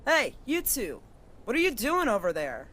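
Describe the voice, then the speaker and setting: A woman's voice: a little high, huge pitch swings, moderate pace, a bit bright, no room sound, exaggerated voice. A woman playing a moody young woman who leads a small group and gives terse, clipped orders in a fan audio drama. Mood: curt.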